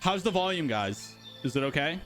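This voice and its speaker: A man's voice: a little high, lively intonation, moderate pace, music in the background. A man hosting a casual live stream for a game studio, chatting and answering viewers' questions. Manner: chatty, rambling, talks to chat.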